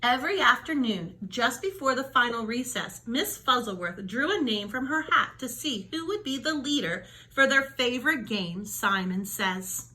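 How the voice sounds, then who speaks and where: High, bright-voiced woman reading a children's story. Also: lively intonation, rough recording. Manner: dramatic emphasis, drawn-out key words. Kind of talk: animated children's storybook read-aloud.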